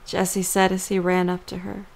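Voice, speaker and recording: A woman reading scripted story narration for an audio drama in a measured, creaky voice. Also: fairly low pitch, completely flat delivery, darkish tone.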